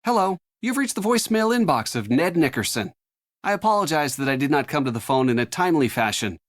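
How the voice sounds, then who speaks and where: A man's voice: fairly high pitch, big pitch swings, fast talker. A man voicing a mock-formal, stiffly polite young voicemail greeter in a video game. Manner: exaggeratedly formal, stilted.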